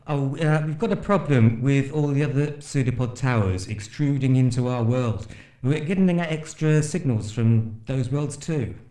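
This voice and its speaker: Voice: medium pitch, unhurried, warm tone, reverberant, natural voice. An actor playing a nervous, apologetic radio station engineer in an audio drama, hesitant and sheepish.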